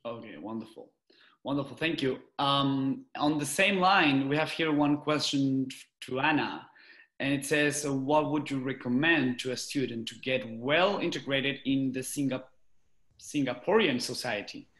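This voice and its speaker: A man in his twenties moderating an online panel, putting questions in a halting way, searching for words. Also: mid-range voice, a bit bright. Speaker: moderator, student. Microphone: video call. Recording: roomy, echoing video call audio.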